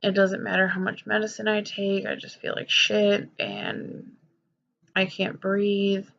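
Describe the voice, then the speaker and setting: A woman's voice: medium pitch, little pitch movement, measured pace, vocal fry, a bit bright. Young woman venting on her vlog, congested and nasal, swears a lot, muffled cheap audio. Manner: candid, rambling, swears freely, many fillers. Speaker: vlogger. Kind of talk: personal confessional vlog.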